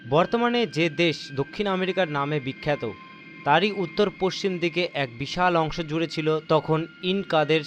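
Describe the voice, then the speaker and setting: A man reading a horror story as narrator, with deliberate, theatrical, suspenseful delivery. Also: on the high side, a little hiss or hum.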